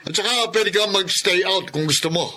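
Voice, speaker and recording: Casting: Filipino bullying stepfather, greedy and menacing, high forceful voice, radio drama. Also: moderate pace, slight background noise. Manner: menacing, badgering with sharp repeated questions. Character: abusive, lazy, money-grubbing bullying stepfather.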